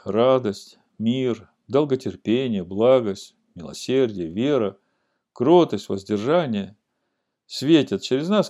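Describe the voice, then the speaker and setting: A man giving a sermon-like scripture lesson, explaining and reading aloud in a deliberate, didactic way that stresses key words. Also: lowish voice, mellow.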